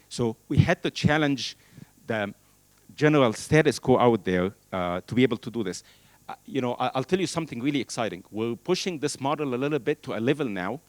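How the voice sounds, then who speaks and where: A middle-aged man originally from Egypt, a native Arabic speaker, giving an animated keynote talk on stage with a handheld mic, using many fillers. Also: medium pitch, dry recording. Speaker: keynote speaker, engineering professor. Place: large hall, on stage at a podium. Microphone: handheld microphone.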